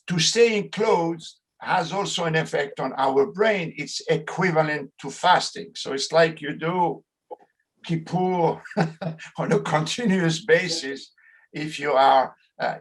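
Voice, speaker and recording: A middle-aged sociologist with a French accent gives a lecture over a video call. He speaks slowly in a slightly high voice, pausing and restarting often as he searches for words in English. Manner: slow, halting, many fillers and restarts. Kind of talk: online lecture.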